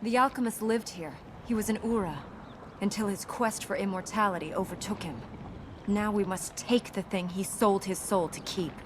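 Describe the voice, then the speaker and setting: An actress playing a solemn, resolute young princess in a video game, speaking in an earnest, formal way. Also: female voice, mid-range voice, moderate pace, lightly acted. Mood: solemn, determined. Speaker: video game companion character. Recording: game audio over clear ambient noise.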